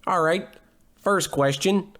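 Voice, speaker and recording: An actor playing a wisecracking, cheap private detective with a deadpan, hard-boiled delivery in 1940s radio noir parody. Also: male voice, sits high, slow. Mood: wry. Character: wisecracking, down-on-his-luck male private detective.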